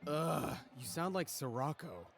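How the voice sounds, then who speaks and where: A man's voice: on the high side, big pitch swings, unhurried, gravelly, faint music behind, heavily acted. An actor playing an aloof, grumpy young man in a visual novel, dry and reluctant in his delivery. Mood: grudging. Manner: dry, grudging. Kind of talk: visual novel character acting.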